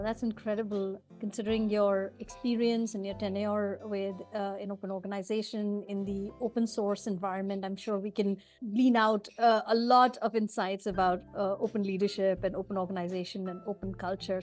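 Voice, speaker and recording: A woman hosting a leadership podcast, asking questions in an encouraging way. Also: mid-range voice. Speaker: podcast host. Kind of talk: interview hosting.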